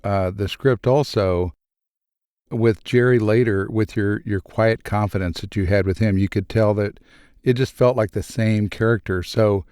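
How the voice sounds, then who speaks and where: A man's voice: fairly low pitch, steady tone, unhurried, darkish tone, clear, resonant tone, no room sound, studio quality. A man chatting casually as a cast member, giving feedback on a panel.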